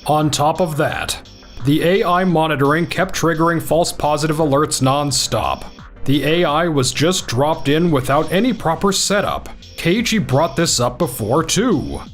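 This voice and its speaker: A blunt, dependable young office team lead, voiced by a man in a manga dub. His voice sits fairly high and swings up and down expressively, with soft music underneath. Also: animated, unhurried. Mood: critical. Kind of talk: anime-style manga dub acting.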